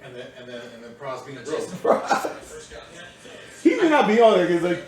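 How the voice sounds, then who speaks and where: A man co-hosting a podcast in casual chat, animated and quick to laugh, his voice rising when he teases. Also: fairly high pitch, faint music behind. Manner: animated, laughs often, raises voice when teasing. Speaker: podcast co-host. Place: studio.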